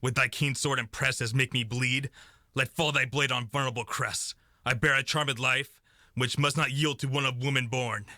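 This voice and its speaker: A man's voice: mid-range voice, steady tone, moderate pace, bright, forceful tone, dry recording, clean studio sound. A young man playing a defiant, doomed warrior king in a Shakespearean radio play, delivering verse in a declamatory way. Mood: proud, scornful. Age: young adult. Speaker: student actor.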